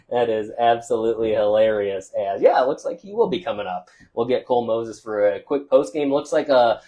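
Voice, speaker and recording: A man who is a local radio sports broadcaster, loudly giving a chatty postgame wrap-up of scores and stats with many uh fillers, from a press box above a football field. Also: mid-range voice, big pitch swings, normal speed, dull audio.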